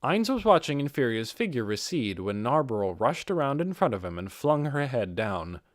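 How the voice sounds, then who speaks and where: A man narrates an audiobook with plenty of pitch movement. His voice is mid-range and recorded very dry, in a scripted storytelling style. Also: sing-song, moderate pace, dry recording. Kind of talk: expressive scripted fiction narration.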